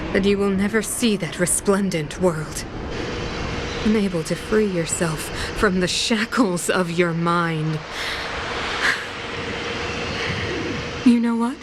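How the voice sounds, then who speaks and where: An actress playing an imperious, villainous middle-aged ruler and mother in a video game, cold, grandiose and deliberate in her delivery. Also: fairly low pitch, very noisy. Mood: disdainful. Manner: slow, deliberate, theatrical, commanding. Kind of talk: dramatic video game voice acting.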